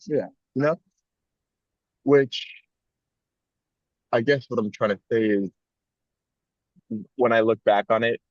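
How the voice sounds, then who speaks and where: A man's voice: medium pitch, no room sound, muffled recording. A young man co-hosting a podcast, talking in a casual, rambling and reflective way with frequent "like" fillers. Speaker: podcast co-host.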